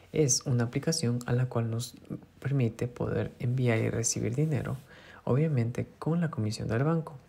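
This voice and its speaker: Man, husky voice, patient tutorial host, explanatory. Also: mid-range voice, mellow. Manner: patient, explanatory, repeats himself. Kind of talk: screen-recorded phone tutorial.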